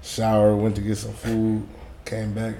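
Young man, sleepy voice, gravelly, relaxed storytelling, podcast co-host, faint background noise. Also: on the low side, unhurried. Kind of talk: casual podcast chat.

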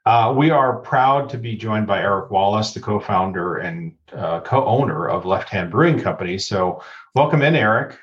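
A man's voice: fairly low pitch, lively intonation, moderate pace. A man hosting a casual podcast, easygoing and jokey. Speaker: podcast host. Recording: dull lo-fi sound.

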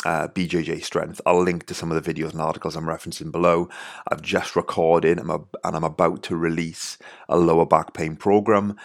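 A man's voice: low voice, level tone, fairly quick. A man with a Welsh accent hosting a podcast, talking casually with lots of "you know" and restarts. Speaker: podcast host. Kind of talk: casual podcast intro monologue.

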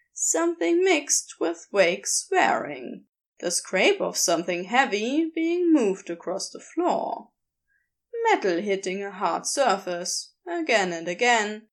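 A woman narrating a written story in an expressive, unhurried storytelling manner. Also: fairly high pitch. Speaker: podfic narrator.

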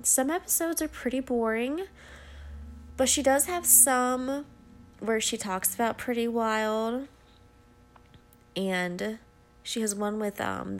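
A woman in her twenties, nasal and stuffy from a cold, chatting casually and rambling apologetically on her solo podcast from home. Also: fairly high pitch. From Ohio, USA. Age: 20s. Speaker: podcast host. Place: at home beside her dog.

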